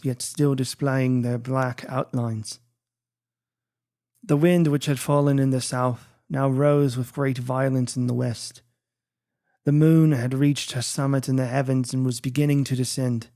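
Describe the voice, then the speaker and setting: A man playing an anguished, haunted young husband, narrating a gothic tale in a theatrical, halting, emotive way. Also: medium pitch, a little mellow, close to an everyday voice. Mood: brooding. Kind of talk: dramatic first-person audiobook narration.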